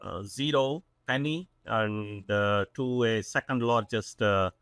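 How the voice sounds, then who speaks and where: A man's voice: lowish voice, takes it slowly, a bit bright, clear, resonant tone, no room sound, clean studio sound. A man in his forties from South India with a South Indian accent, a company CEO talking earnestly as a podcast guest, with many fillers and restarts. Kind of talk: casual interview talk.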